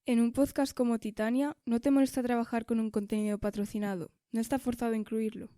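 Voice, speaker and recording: A woman's voice: on the high side, flat delivery, fairly quick, warm tone, no room sound, clean studio sound. A woman asking prepared questions as an interviewer.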